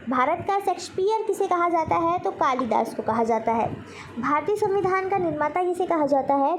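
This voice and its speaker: Really high voiced woman doing gk question and answer lesson, explains like a teacher, bit of background noise. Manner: instructional, asks then answers herself. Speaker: quiz teacher.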